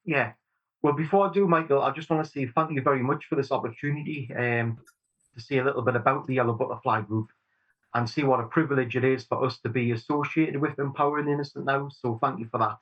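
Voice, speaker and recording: A Geordie man in his forties answers interview questions in a sincere, steady way. The audio is slightly dull and lo-fi, with a middle-pitched voice. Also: fast. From Newcastle upon Tyne, England. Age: 40s. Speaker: campaign group chair, guest.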